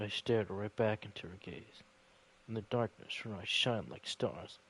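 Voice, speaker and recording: A man reading a visual novel aloud as dramatic narration, halting now and then over the text. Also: lowish voice, a bit bright, husky, low-quality recording. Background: game music.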